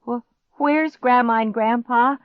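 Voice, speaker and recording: Woman as an excitable young boy, raised pitch. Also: moderate pace, no echo, dull audio. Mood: scared, urgent. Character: excitable young stepbrother, a boy, mocking then panicky. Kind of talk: children's horror audiobook character.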